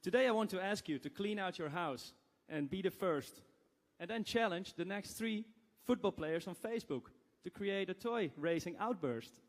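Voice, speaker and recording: A man's voice: high-pitched, expressive, moderate pace, hardly any pauses. A man from the Netherlands in an event audience, earnestly reciting a rhyming poem in a sing-song delivery. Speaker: audience member. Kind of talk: reading a rhyming poem aloud. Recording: reverberant event hall.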